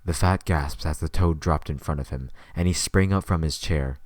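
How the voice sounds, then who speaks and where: A man's voice: a bass voice, moderate pace, darkish tone, no room sound, clean studio sound. A man reading scripted story narration as the narrator of an audio drama.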